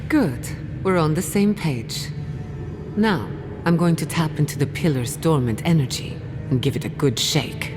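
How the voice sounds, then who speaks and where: A woman's voice: low-pitched, lively intonation, measured pace. A woman playing a confident, wry, adventurous scholar and guide in a video game, explaining things articulately. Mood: focused, wry. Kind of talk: scripted video game acting. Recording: reverberant hall under loud music.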